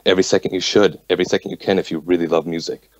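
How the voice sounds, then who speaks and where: A man who makes reggae rhythms, talking passionately and fast in a casual radio discussion. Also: sits low, fairly even intonation, dry recording, muffled recording. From United States. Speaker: reggae producer and musician.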